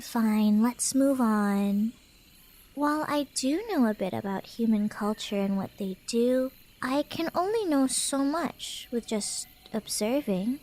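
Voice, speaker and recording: Woman acting as a high-voiced, teasing cat girl. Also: a little mellow. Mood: miffed, teasing.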